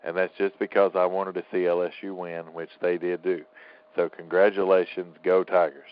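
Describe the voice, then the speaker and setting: A man's voice: deep voice, level tone, moderate pace. Teasing male talk host, dull lo-fi sound. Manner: teasing, opinionated. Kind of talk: casual political talk show banter. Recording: dull lo-fi audio.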